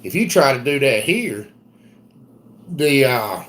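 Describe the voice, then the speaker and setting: A man's voice: mid-range voice, big pitch swings, slow pace, a bit bright. Folksy Southern man hosting a livestream. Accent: Southern US, South Carolina. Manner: rambling, folksy, reads viewer comments aloud. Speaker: homestead livestream host. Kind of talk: casual live-stream chat.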